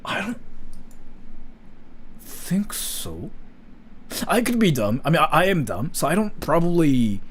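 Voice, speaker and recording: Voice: medium pitch, sing-song, unhurried, long pauses, husky. A native Japanese speaker with a Japanese accent, chatting casually with livestream viewers as the host in a self-deprecating, apologetic way.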